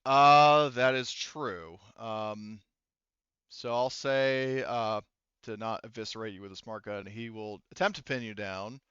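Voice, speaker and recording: A man running an online tabletop roleplaying game as game master, thinking aloud in a halting way over a headset mic. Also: on the low side, fairly even intonation, fairly bright tone, smooth, dry recording, muffled recording. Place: online voice session.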